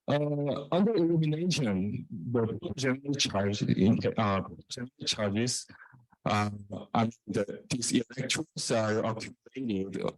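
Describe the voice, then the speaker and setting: A man presenting research in a technical scientific talk, with a halting, hesitant delivery that often repeats phrases. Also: on the low side, no room sound, low-quality recording.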